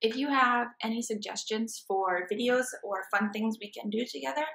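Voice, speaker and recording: A woman's voice: a little high, moderate pace, gravelly, fairly bright tone, low-quality recording. A woman in her twenties narrating a comic book read-aloud as an after-school storyteller, recorded indoors at home. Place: small room at home, indoors.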